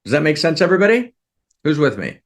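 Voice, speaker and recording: A man's voice: a little high, big pitch swings, quick pace. A man giving an earnest teaching session to a group, often repeating himself and checking that his listeners are following. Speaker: spiritual teacher.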